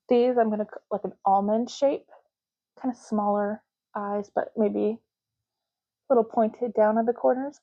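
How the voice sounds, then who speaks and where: A woman speaking softly and breathily in an unhurried ASMR roleplay, as a clerk helping a customer, gently lingering on and repeating words. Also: mid-range voice, dull audio. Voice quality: soft, breathy, at times dropping to a whisper. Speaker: ASMR creator playing an ID card clerk in a roleplay. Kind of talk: ASMR roleplay, soft-spoken and casual.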